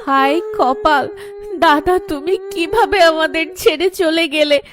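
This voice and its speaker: Woman acting a high, plaintive village woman. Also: crowd noise. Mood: wailing grief. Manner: plaintive, drawn-out. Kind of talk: Bengali horror cartoon voice acting.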